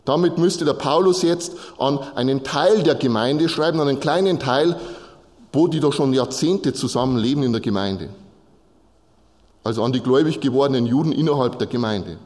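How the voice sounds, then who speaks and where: A man's voice: medium pitch, normal speed, darkish tone. A man preaching, explaining scripture in short, repeated phrases and addressing listeners directly. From Bavaria, Germany. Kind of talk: sermon, teaching from Bible texts to a congregation. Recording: long hall reverb.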